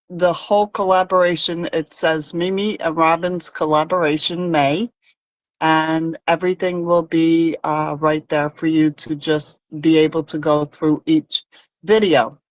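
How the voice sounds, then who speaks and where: A woman's voice: deep voice, flat delivery, measured pace, no room sound, lo-fi sound. A woman hosting a casual live crafting chat on a video call, talking in a rambling, hesitant way. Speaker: host crafter.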